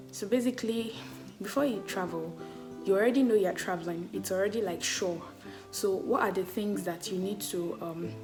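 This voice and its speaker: A young Nigerian woman vlogs to her camera in a loud, chatty voice, her sentences full of "like" and little laughs. There is no separate microphone, just the camera picking her up. Music plays clearly underneath her. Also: mid-range voice. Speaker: travel vlogger. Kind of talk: casual talk to camera. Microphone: camera mic, no external mic. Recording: background music.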